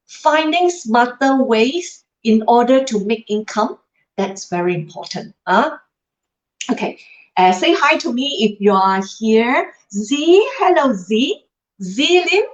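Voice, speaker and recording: A middle-aged woman whose first language is Cantonese gives a motivational livestream talk. She speaks straight to her viewers and drops tag questions into her sentences. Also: fairly high pitch. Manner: addresses viewers directly, tag questions like "huh?" and "lah". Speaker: livestream host. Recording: roomy, slightly dull livestream audio.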